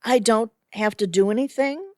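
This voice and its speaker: An actress playing a sweet, newly dead wife in an audio theatre comedy, tentative, questioning and earnest. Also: female voice, fairly high pitch, moderate pace, dark tone, dry recording, studio quality, natural voice. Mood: bewildered. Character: hesitant, sweet, newly dead married woman.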